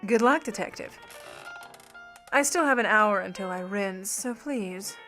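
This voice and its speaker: Imperious femme fatale starlet played by a woman, mid-pitched, poised, arch, 1950s radio drama over music. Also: unhurried, sharp tone. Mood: cool, arch. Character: cool, imperious, sly female Hollywood starlet and thief. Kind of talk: old Hollywood radio play acting.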